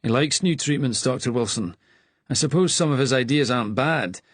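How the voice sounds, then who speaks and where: A man voicing a friendly young male hospital attendant with a broad Scottish accent, talking quickly with a dry, amused edge. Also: medium pitch, breathy edge, dry recording, voice pushed up, lightly acted. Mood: conversational. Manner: quick, words running together, amused.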